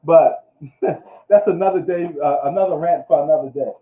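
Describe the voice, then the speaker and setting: A man's voice: on the high side, normal speed. A man from New York giving a casual, slangy live recap of the football week, ranting loosely as he goes. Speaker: sports streamer. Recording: echoey room, dull lo-fi live stream.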